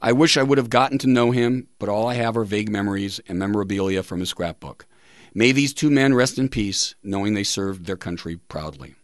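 Male radio host, lowish pitch, measured emphatic reading delivery, close and dry studio mic. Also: dry recording. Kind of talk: reading listener tributes and quotes on a talk show.

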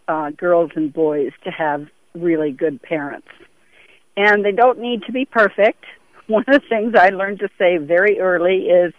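A woman's voice: lowish voice, no echo. A woman in her sixties from Europe talking earnestly and conversationally as a caller to a radio show over the telephone. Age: 60s. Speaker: phone-in caller. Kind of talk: earnest conversational phone call. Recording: very tinny, band-limited phone line.

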